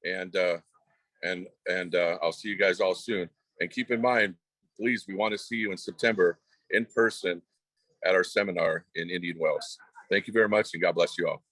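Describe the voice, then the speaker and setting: A man with a very low voice delivers friendly welcome remarks as president, rambling and restarting his phrases often. He joins over a video call from a family home, and the sound is close and dry. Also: fairly even intonation, normal speed, no room sound, rough recording. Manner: warm, rambling, with repeated restarts. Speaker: association president. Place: family home, away on travel.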